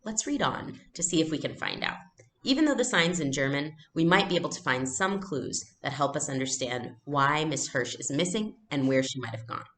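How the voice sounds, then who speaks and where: A woman teacher reading a storybook aloud in an expressive, explanatory way. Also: low-pitched, moderate pace.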